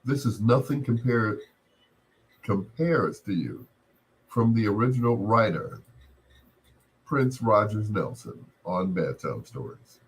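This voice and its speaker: Low-voiced man hosting late-night radio. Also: animated, takes it slowly, long pauses, rough recording. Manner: playful, teasing, familiar with listeners. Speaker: radio DJ and host of a late-night music show. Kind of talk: casual late-night radio patter, livestreamed.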